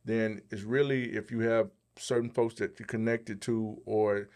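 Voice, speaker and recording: A man's voice: fairly low pitch, flat delivery, unhurried, a little mellow. A man co-hosting a podcast in a casual, colloquial, motivational way. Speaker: podcast co-host. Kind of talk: casual podcast chat and questions.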